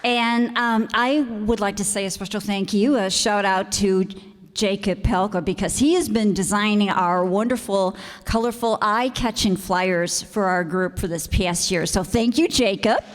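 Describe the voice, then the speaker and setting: A woman hosts a community group's event, offering thanks to the audience in a measured public address. Her mid-pitched voice rings through a reverberant hall. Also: slight background noise. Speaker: host of a community radio theater group. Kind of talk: closing thank-you remarks to an audience. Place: community auditorium stage. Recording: echoey hall, picked up in reverberant room.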